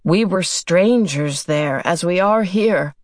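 A woman voicing a steady, dignified older father in an audiobook, speaking frankly and distinctly. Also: fairly low pitch, dry recording, studio quality. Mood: grave, steady. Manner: slow, distinct, measured. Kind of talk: audiobook character voice.

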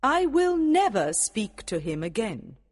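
A man reading a storybook, voicing a proud and hurt fairy queen in a high, dramatic voice. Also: huge pitch swings, unhurried, in a large hall, heavily acted. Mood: haughty.

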